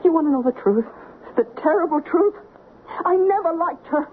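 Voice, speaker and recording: A woman's voice: very high-pitched, lively intonation, moderate pace. An actress playing an anguished, conscience-stricken small-town woman in a radio drama, pleading and distraught. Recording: tinny, noisy old radio broadcast.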